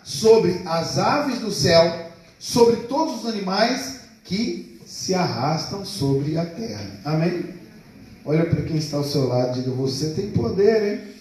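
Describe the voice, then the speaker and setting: A pastor preaching a sermon to a congregation, calling out for responses and drawing out key words slowly. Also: male voice, high-pitched. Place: church hall. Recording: echoey hall, mic far off.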